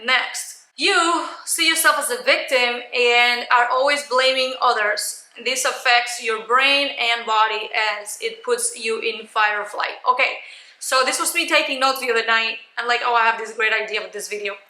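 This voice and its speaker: A woman giving a motivational coaching talk to camera in a loud, emphatic, blunt manner. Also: on the high side, fairly even intonation, normal speed, roomy sound, poor audio. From Cuban. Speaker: life coach, video host.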